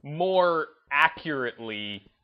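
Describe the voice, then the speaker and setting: A man hosting a gaming video series, giving unscripted commentary in a halting, reflective way and often rephrasing himself. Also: fairly high pitch, animated, muffled recording.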